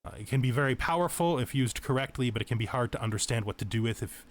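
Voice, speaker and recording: A man's voice: medium pitch, expressive, fast talker, slight background noise. A man giving casual tutorial commentary over a video game, explaining things as he thinks aloud.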